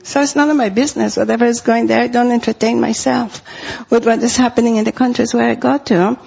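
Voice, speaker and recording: A woman's voice: on the high side, steady tone, quick pace, vocal fry, a little mellow, slightly rough, dull audio. A middle-aged woman from Costa Rica with a Spanish accent, preaching a sermon in an earnest way and repeating phrases for emphasis.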